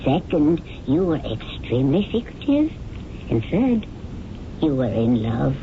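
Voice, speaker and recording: A woman's voice: a bass voice, big pitch swings, a little slow, heavily acted. An actress playing an older motherly companion who fondly scolds a young actress, in a radio drama. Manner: fond, gently scolding. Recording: tinny hissy old radio broadcast.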